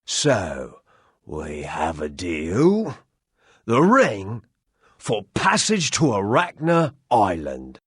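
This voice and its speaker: RPG townsman: wily rustic dealer, low, husky, slow, very animated intonation. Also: no echo, full character voice. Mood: wily, bargaining. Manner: colloquial, drawn-out bargaining delivery. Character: shrewd, rustic deal-making islander in fantasy town. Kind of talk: fantasy game character acting.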